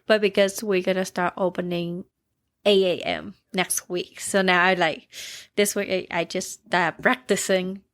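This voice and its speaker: A woman's voice: fairly low pitch, flat delivery, a little slow, fairly bright tone. A young woman from Vietnam with a thick Vietnamese accent, a bakery owner telling her story in a podcast interview, using simple broken English with fillers. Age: young adult. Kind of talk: casual interview chat.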